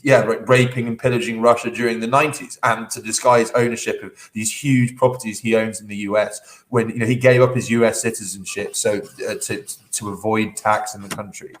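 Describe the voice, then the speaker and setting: A low-voiced man on a podcast rambles through his points, stumbling over restarts and filler words. He drops scornful asides as he talks. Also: steady tone, a little fast, hardly any pauses, rough recording. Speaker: podcast co-host. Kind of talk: casual podcast commentary.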